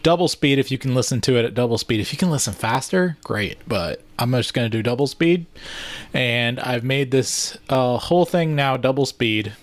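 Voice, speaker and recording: A man's voice: medium pitch, animated, normal speed. Casual, rambling man vlogging. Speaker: vlogger, video editor. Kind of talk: casual vlog talk and tutorial.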